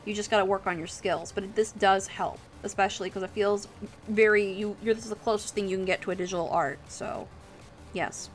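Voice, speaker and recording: A woman's voice: mid-range voice, fairly even intonation, brisk. Female livestreamer, informal and encouraging, talking off the cuff over background music. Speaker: livestream host and digital artist. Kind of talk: casual livestream chat while drawing. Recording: music playing behind the voice.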